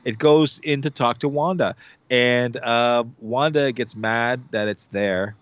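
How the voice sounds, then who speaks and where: A man's voice: medium pitch, slow, smooth, no room sound, highs cut off. Man recapping an episode, filler-heavy. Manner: rambling, filler-heavy, animated retelling. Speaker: podcast host recapping a TV episode. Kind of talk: casual chat.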